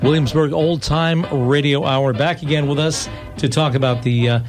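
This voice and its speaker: A man with an American accent and a slightly high voice hosts a casual live radio chat. He is enthusiastic and talkative, often restarting his phrases, with music faintly present. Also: fairly even intonation. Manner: enthusiastic, chatty, halting restarts. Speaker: radio host.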